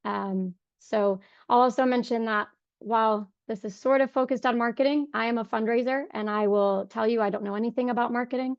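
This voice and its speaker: A young woman with a voice hoarse from a cold, giving an earnest webinar talk on fundraising with many ums. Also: a little high, steady tone, a little fast, few pauses, no room sound. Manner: frequent ums, earnest and conversational. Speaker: fundraising director. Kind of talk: webinar presentation. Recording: dull lo-fi dry sound.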